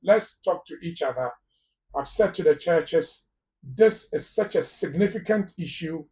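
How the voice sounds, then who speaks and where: A man's voice: fairly high pitch, expressive, measured pace, mellow, distant mic. Emphatic Ghanaian man, a little off-mic. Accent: Ghanaian English. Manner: emphatic, repeats words for stress. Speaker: immigration lawyer guest. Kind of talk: live phone-in advice talk.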